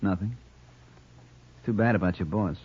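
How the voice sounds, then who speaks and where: An American actor playing a sleazy, wisecracking private detective and blackmailer in an old radio crime drama, with a husky voice. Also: male voice, on the low side, normal speed. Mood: breezy, mocking. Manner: wisecracking, insinuating slang. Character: sleazy male private detective, brazen blackmailer, needling and slangy. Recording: muffled old radio recording.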